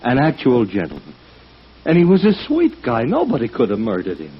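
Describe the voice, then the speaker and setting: An actor playing an excitable, rough-edged American booking agent, blustering and repetitive, in a 1950s radio drama. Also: male voice, medium pitch, fairly quick, full character voice. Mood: distraught. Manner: blustering, repetitive, ungrammatical tough talk. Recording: dull old radio recording.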